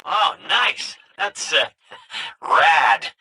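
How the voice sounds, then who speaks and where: A man's voice: a little high, big pitch swings, extremely slow, pushed and bright, husky, heavily acted. A man voicing an awkward, eager little robot informant in a video game, hesitant and casual, through a radio filter. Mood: awkwardly grateful.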